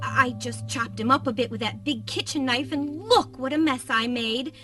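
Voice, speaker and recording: Woman as a deranged doting wife, sing-song, bright voice, music behind her. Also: sits high, moderate pace, distant mic. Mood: sweetly unhinged. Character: deranged murderous young wife, sugary sweet. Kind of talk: haunted attraction acting.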